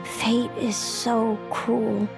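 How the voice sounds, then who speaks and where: A woman's voice: fairly high pitch, flat delivery, very slow, vocal fry, music in the background, exaggerated voice. A woman voicing a solemn, melancholy young seeress in a dramatic, formal style for a game. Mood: sorrowful.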